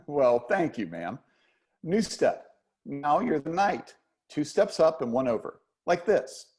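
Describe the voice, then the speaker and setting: A man's voice: on the high side, very animated, takes it slowly, darkish tone. A man reading a play as a charming, patient, flirtatious dance teacher who teases and encourages his pupil. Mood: teasing, reassuring. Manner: teasing, encouraging. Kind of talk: play reading, romantic comedy.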